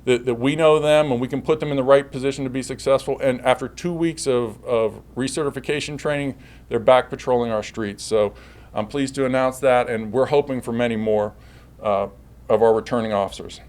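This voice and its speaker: Man, police chief, public slide presentation, halting delivery, repeated words and self-corrections. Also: medium pitch, steady tone, darkish tone.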